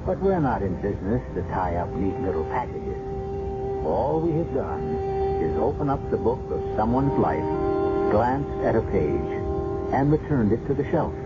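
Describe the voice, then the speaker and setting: A man hosting and narrating a 1970s radio drama series, reading scripted lines with deliberate, theatrical phrasing. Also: fairly low pitch, big pitch swings, loud music behind the voice. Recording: muffled, noisy 1970s radio broadcast recording.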